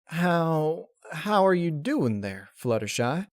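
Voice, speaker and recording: A man's voice: on the high side, big pitch swings, slow pace, no room sound, clean studio sound, voice pushed up. A man voicing a plain-spoken female country pony, protective and grim underneath, with a folksy, guarded manner. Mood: uneasy probing. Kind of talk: dramatic fan-fiction character reading.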